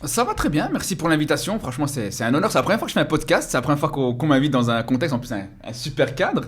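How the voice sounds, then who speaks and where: A man's voice: fairly high pitch, very animated, racing, slightly rough. A man, a guest in a casual interview, talking passionately and repeating phrases for emphasis. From Belgium. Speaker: guest, content creator.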